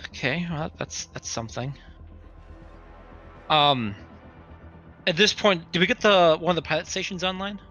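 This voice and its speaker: Bright-voiced man playing a tabletop game. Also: on the high side, animated, moderate pace, long pauses, soft background music. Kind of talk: casual game-table talk.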